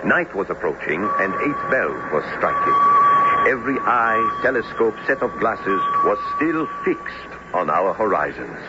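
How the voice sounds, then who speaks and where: A man's voice: lowish voice, huge pitch swings, a little slow. A man playing an earnest, learned French professor who narrates his sea adventure formally and dramatically in a radio drama. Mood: dramatic, grave. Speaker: narrator and actor. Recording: noisy, muffled old radio recording.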